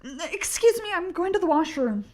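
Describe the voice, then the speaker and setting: A woman's voice: extremely high pitch, very animated, moderate pace, background noise. A woman playing an anxious, studious researcher in an audio play, hesitant, polite and apologetic.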